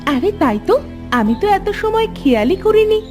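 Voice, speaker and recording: A woman's voice: extremely high pitch, very animated, moderate pace, a little mellow, faint music behind. A woman playing a poor, emotive village wife who longs for her child, in a cartoon fairy tale. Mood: excited, astonished.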